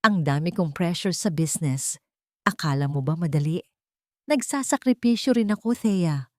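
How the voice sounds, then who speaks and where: A woman's voice: lowish voice, expressive, moderate pace, no echo, clean studio sound, natural voice. A woman voicing a dismissive, irritated husband and proud provider, defensive and scolding, in an audio love story. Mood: annoyed, lecturing.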